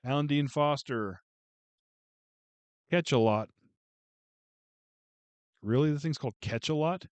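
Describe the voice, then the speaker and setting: A man's voice: fairly high pitch, takes it slowly, long pauses, darkish tone, no room sound. A man casually going through a book haul on a video, chatting and joking with viewers as he reads out titles. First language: English. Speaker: booktube vlogger.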